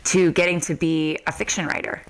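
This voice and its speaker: A woman's voice: on the low side, little pitch movement, a little fast, rough recording. A woman hosting a podcast, speaking in a conversational interview style. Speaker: podcast host.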